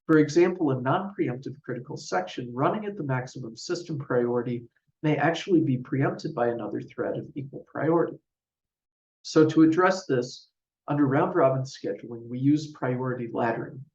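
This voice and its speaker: A man giving a scripted technical conference presentation in a measured, formal, explanatory way. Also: mid-range voice, a little mellow. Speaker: researcher presenting a paper. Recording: roomy, distant mic, dull sound.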